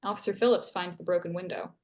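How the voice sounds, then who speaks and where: A woman with a somewhat low voice reads stage directions aloud. It is scripted narration for a live table read, describing each action and scene heading in turn. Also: steady tone, fast talker.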